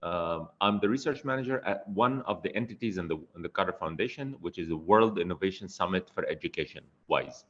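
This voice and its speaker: Man, from Egypt, research manager, very low voice, dull audio. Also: fairly quick, hardly any pauses, fairly bright tone. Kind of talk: self-introduction. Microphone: video call.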